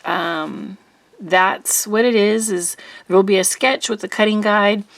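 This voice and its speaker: A middle-aged woman hosting a crafting channel, chatting casually to camera in a rambling way with little laughs and ums, her voice slightly rough from allergies. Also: mid-range voice, measured pace, no room sound.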